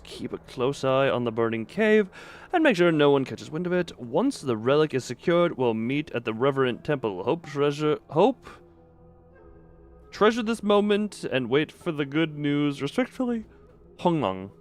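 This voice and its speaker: A man's voice: a little high, big pitch swings, moderate pace, smooth, faint music behind. A man doing casual live game commentary as a streamer, reading text aloud and adding wry, muttered asides.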